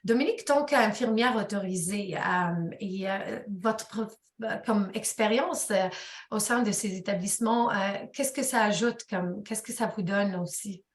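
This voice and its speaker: A woman's voice: medium pitch, a little slow, reverberant. A woman moderating a webinar panel, asking questions with many hesitations.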